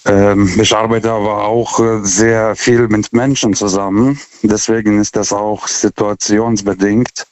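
Guy around 40 phoning a radio show, foreign accent, very monotone n dry sound, stammers and restarts words. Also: on the low side, no echo. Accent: non-native German. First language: not German. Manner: halting, stammering over word starts, often tagging with "ne". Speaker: radio phone-in caller. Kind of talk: unscripted, reflective call-in talk. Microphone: telephone. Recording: dull, band-limited phone call.

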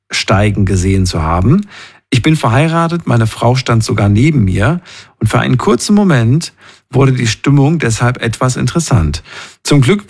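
A man hosting a call-in radio show, chatting informally with listeners and reading out their messages. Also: medium pitch. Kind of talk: casual chat, reading listener messages aloud.

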